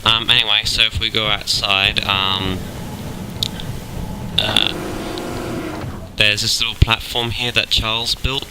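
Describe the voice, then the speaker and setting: A young man with a cold, talking through his game in a relaxed, halting way with many ums. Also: fairly low pitch, noisy background. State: has a cold. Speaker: gaming video host. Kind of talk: casual gameplay commentary. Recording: game sounds clearly behind.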